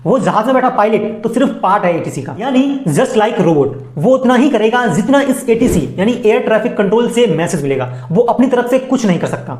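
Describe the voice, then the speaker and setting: A woman's voice: a little high, darkish tone. A woman with an Indian accent narrating an informal educational voice-over in a chatty, colloquial way, addressing viewers directly with playful asides. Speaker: explainer video narrator. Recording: roomy, echoing voice-over.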